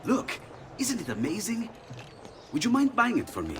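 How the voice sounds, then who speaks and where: A man's voice: high-pitched, huge pitch swings, moderate pace, noisy background. A man playing a friendly, enthusiastic inventor in a video game, eager and courteous, with an Italian accent. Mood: excited. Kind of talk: video game character acting.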